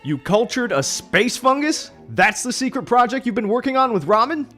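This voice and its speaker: A man's voice: extremely high pitch, expressive, normal speed, fairly bright tone, soft background music. Man playing a nervous, earnest young mycologist. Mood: panicked. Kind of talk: audio drama acting.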